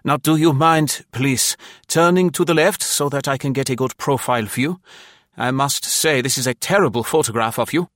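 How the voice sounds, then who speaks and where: A male narrator acts out a weary German policeman in a wartime audiobook. He questions officiously and briskly, in a middling pitch lifted well above the narration, with a dry, close sound. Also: fairly bright tone, dry recording, natural voice. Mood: tired, officious.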